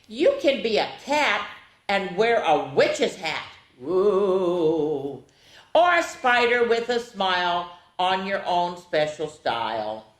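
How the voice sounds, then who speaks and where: A woman hosting a children's storytime, reading picture books aloud in a playful, theatrical way with casual asides and drawn-out words. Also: on the low side, big pitch swings. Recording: echoey, roomy sound.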